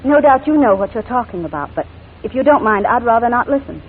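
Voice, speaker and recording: A refined society wife in an old radio melodrama, anxious and guarded. Her high voice moves in lively swoops, polite and formal. It sounds tinny and narrow, like an old broadcast. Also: quick pace, a little hiss or hum. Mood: politely uneasy. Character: refined, anxious English lady, barrister's wife. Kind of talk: 1940s radio drama acting. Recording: tinny, phone-like 1940s radio recording.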